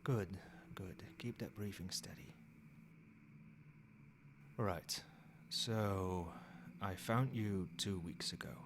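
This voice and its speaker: Guy doing an old vampire sire for asmr, deep n soft, formal and measured, caring but possessive, bit of background noise. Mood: soothing, gentle. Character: centuries-old male vampire sire, soothing, possessive caretaker. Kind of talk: ASMR roleplay.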